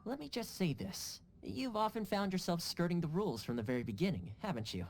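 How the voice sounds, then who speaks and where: A man's voice: sits high, sing-song, a little fast, a little hiss or hum. A man playing a playful, easygoing young man in a video game, teasing and breezy. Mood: sincere.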